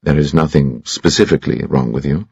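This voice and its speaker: A man voicing a brusque, authoritative society doctor who talks briskly and commandingly. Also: very deep voice, gravelly, a little mellow, slightly rough, dry recording, lo-fi sound, heavily acted. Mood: firm.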